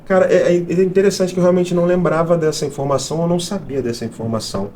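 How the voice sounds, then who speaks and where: Excitable, jokey man, fairly high voice. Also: quick pace, slight background noise. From Brazil. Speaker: interview host. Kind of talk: casual interview chat.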